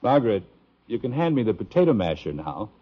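A middle-aged American dad in an old radio sitcom. He is easygoing, dry and affectionate, with a lively melody in his speech. The recording is dull and very noisy. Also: fairly low pitch, fairly quick. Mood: tender. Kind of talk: 1950s radio sitcom acting.

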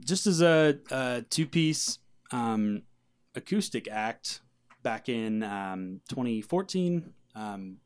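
A young American man talking casually as a band guest, feeling his way through his sentences in a halting, reflective manner. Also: lowish voice, smooth. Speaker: band singer and guitarist, guest. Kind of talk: casual interview chat.

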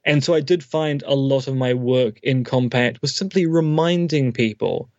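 A man's voice: medium pitch, normal speed, darkish tone, no echo. Articulate male academic on dull dry audio. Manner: articulate, dry-humoured academic explaining at length. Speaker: guest academic, philosophy professor. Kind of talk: interview.

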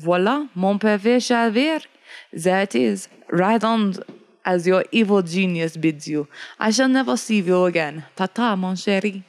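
A woman's voice: mid-range voice, unhurried, natural voice. Woman as a world-weary frontier guide. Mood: fatalistic.

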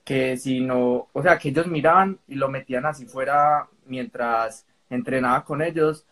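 Man, Colombian accent, mid-pitched voice, casual caller, polite, hesitant, explains in a roundabout way. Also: normal speed, fairly bright tone. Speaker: caller on a live stream. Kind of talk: casual spoken account.

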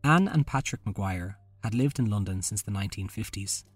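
A man's voice: fairly low pitch, animated, brisk, slightly rough, no room sound, faint music behind. A man hosting a podcast, reading a scripted true-crime narration. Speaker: podcast host.

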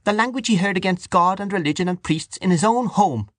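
A man voicing a devout, hot-tempered woman in an audiobook novel, loud, righteous and indignant. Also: high voice, animated, quick pace, no room sound, clean studio sound, pitched above the performer's own voice. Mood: hot, defiant.

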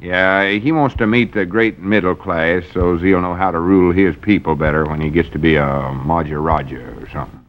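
A man's voice: low voice, steady tone, normal speed, hardly any pauses, natural voice. An actor playing a gullible, earnest small-town man in an old radio comedy, with a drawling rural Southern American accent and rustic speech. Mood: earnest explaining. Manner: drawling, folksy, rustic dialect. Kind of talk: 1940s radio comedy acting. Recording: dull, lo-fi old radio broadcast.

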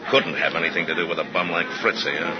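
Low-voiced cynical tough detective actor. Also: male voice, fairly even intonation, fast talker, crowd noise, close to an everyday voice. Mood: sardonic, alert. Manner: sardonic, needling, slangy. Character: cynical tough male detective partner, needling and bossy. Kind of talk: 1950s radio drama acting. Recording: muffled old radio recording, busy background voices.

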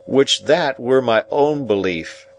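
A man playing a simple-hearted, kindly working man who speaks in a halting, deliberate, rustic way in an audiobook. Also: mid-range voice, lively intonation, slight background noise, pitched above the performer's own voice, rough recording. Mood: earnest, effortful. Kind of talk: audiobook character voice.

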